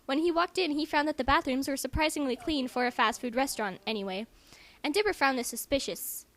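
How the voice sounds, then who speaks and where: A woman's voice: sits high, fast, a bit bright. A young woman doing an amateur dramatic reading, giggly and reluctant, breaking off for casual remarks. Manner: giggly, reluctant, interjecting asides. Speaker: amateur reader. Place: room in a house. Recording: noisy home recording.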